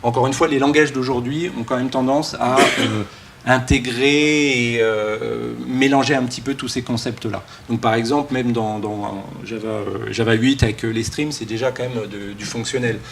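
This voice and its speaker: A man speaks informally as a conference keynote speaker, joking and halting with many false starts. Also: mid-range voice, fairly even intonation. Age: early 40s. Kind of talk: casual, joking conference talk. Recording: live talk with background room noise.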